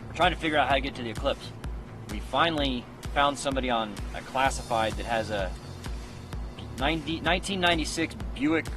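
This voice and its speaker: A man's voice: medium pitch, brisk. An American man talking casually as a travel vlogger, laid-back and upbeat about setbacks. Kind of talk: casual travel vlog talk and narration. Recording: loud music, vehicle and outdoor noise.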